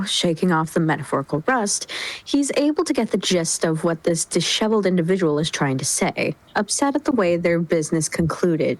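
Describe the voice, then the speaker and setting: A woman's voice: lowish voice, poor audio. A woman reading scripted story narration expressively in a dramatic reading. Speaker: reader in a group dramatic reading.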